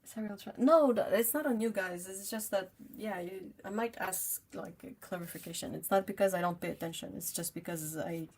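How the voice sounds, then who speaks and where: A young French Canadian woman hosts a relaxed painting livestream and chats with her viewers as she works. Her voice is soft and slightly breathy and sits at an ordinary middle pitch. She reads questions from the chat, trips over her words and laughs easily. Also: brisk, hardly any pauses. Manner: chatty, reads chat aloud, laughs often, self-interrupting. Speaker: livestream host painting and chatting.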